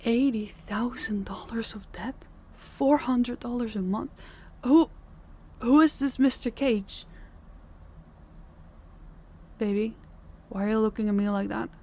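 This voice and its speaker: A young woman voicing a tired, tender working girlfriend in an audio roleplay, speaking softly and intimately, often close to a whisper. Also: fairly high pitch, a little slow. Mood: shocked, anxious. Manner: soft-spoken, intimate, often near a whisper. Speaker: voice actor.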